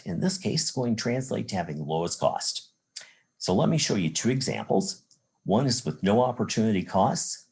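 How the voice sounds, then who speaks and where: An American man lectures as a college instructor in a low, husky voice. His pitch moves up and down in a lively way as he explains. He works through examples methodically, one step at a time, restating points as he goes. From Chicago area, United States. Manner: methodical, step-by-step explaining with rhetorical "well" and "again".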